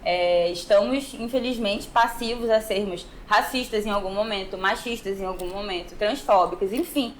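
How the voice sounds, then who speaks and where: A woman's voice: mid-range voice, normal speed, hardly any pauses. A young woman from Manaus with a northern Brazilian accent, talking informally and reflectively as a podcast guest about her own experience. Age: young adult. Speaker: podcast guest, musical artist. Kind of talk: conversational personal storytelling in an interview. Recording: clear background noise.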